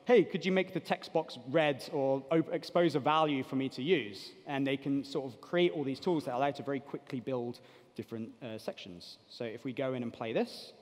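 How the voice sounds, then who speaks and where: A man in his thirties giving a live software demo as a conference presenter, explaining things informally. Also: mid-range voice, brisk. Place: large indoor conference stage. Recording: reverberant hall stage recording.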